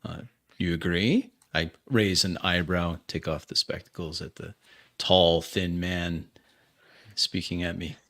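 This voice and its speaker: A man's voice: deep voice, fairly even intonation, measured pace, fairly bright tone. A man improvising as a stiff, skeptical, learned doctor in a tabletop roleplaying game, speaking formally. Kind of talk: improvised in-character roleplay and narration.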